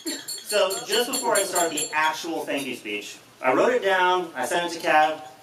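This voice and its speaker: A young man in his twenties giving a wedding thank-you speech in a reception hall, dry and joking, with a voice he calls a monotone. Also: fairly high pitch, normal speed. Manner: self-described monotone, dry jokes. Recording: roomy hall mic from a distance, loud noise.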